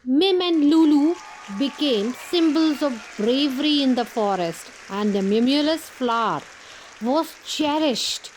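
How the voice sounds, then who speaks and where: A woman storyteller narrating a scripted children's fairytale in a deliberate way, broken into short phrases. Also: high voice, darkish tone, a little hiss or hum, rough recording.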